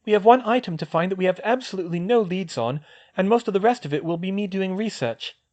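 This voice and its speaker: This is a man reading a clever, determined teenage witch, decisive and organized. The voice sits high for a man and moves lively up and down. She sounds fast and sure of her plans. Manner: brisk and decisive. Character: sharp, determined teen witch, organized planner.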